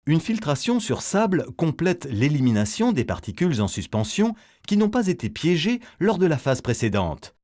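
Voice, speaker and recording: A man's voice: a little high, very animated, moderate pace, hardly any pauses, a little mellow. A man reading a scripted educational narration as the narrator of an explainer film, setting things out in a didactic, step-by-step way.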